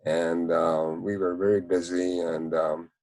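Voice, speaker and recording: A man in his nineties with a Hungarian accent, recounting memories in an interview in a measured, halting way. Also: sits low, little pitch movement, a little mellow. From Czechoslovakia. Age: 90s. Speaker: Holocaust survivor, interviewee. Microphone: video call.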